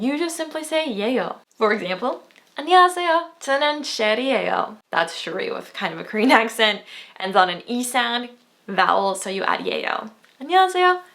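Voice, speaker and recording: Quick, bright-voiced young woman teaching. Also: fairly high pitch. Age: 20s. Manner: upbeat, chatty, laughs often. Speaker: language teacher. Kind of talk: video language lesson.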